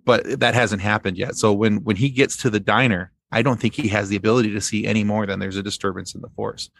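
A man speaking as a podcast guest in a casual fan discussion, explaining things in a halting, rambling way. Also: on the low side, steady tone, gravelly, a bit bright.

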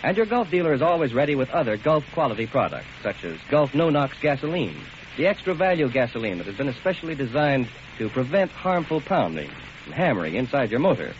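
A man as commercial announcer reading a scripted advertisement in 1940s radio style. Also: medium pitch. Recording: dull old radio broadcast, faint noise.

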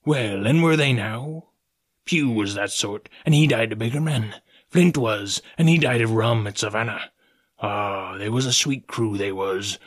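A man's voice: lowish voice, unhurried. Guy reading as a bossy crafty pirate cook, scolding sailor talk, storybook villain. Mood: scornful. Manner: scheming, scolding, rustic seafaring speech. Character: cunning, domineering old pirate ship's cook, male.

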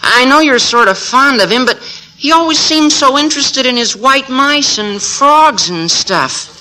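A man's voice: extremely high pitch, animated, measured pace, sharp tone, exaggerated voice, rough recording. A man playing a naive, earnest teenage schoolboy with an American accent in classic radio comedy. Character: cracking-voiced, naive, eager teenage schoolboy. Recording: old radio broadcast, faint noise.

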